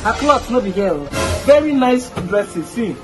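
A man playing a loud, scheming Nigerian mother who gossips and sells clothes, in a comedy skit. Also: very high voice, lively intonation, a little slow. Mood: brash. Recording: music playing underneath.

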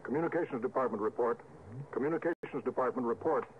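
A man's voice: mid-range voice, fast, natural voice. A stern male starship captain, played by an actor in vintage radio drama. He is wary and clipped, giving orders with command. The sound is narrow and thin, with heavy hiss. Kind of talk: 1950s radio drama acting. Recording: muffled, noisy vintage radio recording.

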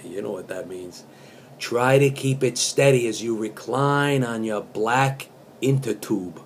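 A man in his fifties reciting in a deliberate, mock-serious way with casual asides, recorded in a small room on a webcam. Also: medium pitch, slight background noise. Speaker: comic host reading song lyrics as poetry. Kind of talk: dramatic spoken recitation of pop lyrics with casual asides.

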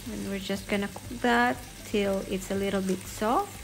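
A woman narrating a home cooking video step by step in a voice-over, calm and unhurried. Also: mid-range voice, gravelly. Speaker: home cook vlogger. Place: home kitchen, food sizzling in pan. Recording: audible kitchen noise behind.

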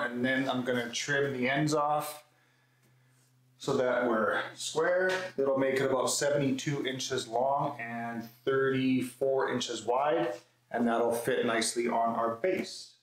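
A man's voice: medium pitch, fairly bright tone. A man in his thirties casually and matter-of-factly explaining a hands-on build to camera, picked up a few metres from the mic in a workshop. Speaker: woodworking video host. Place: concrete-floored garage workshop, indoors. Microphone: camera mic a few metres away. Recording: echoey garage, faint background noise.

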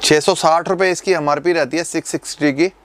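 A man in his thirties, a shopkeeper politely pitching perfumes and prices, calling the host sir. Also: fairly quick. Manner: polite, calls the host sir, steady salesman. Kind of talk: sales pitch. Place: indoor perfume shop.

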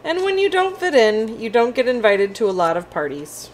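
A woman voicing a friendly, quirky, slightly lonely octopus-unicorn from a picture book, with an animated, childlike delivery. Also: a little high, moderate pace, slight background noise. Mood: cheerful, boastful.

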